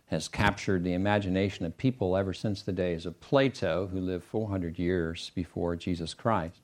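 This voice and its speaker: A man preaches a sermon to his church in a loose, conversational way. He often pauses with ums and uhs. His voice sits very low. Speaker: pastor.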